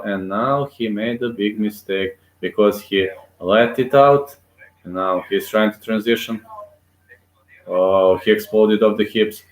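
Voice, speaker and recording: Excitable man calling a fight, echoey room. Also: on the low side. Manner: excitable, rooting aloud, frequent fillers. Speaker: amateur fight commentator. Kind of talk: live play-by-play commentary.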